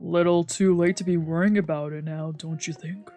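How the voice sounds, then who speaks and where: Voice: medium pitch, steady tone, moderate pace, dark tone. A streamer reading visual novel text aloud in a casual way, with sweary asides. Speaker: streamer doing a visual novel let's play. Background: game music.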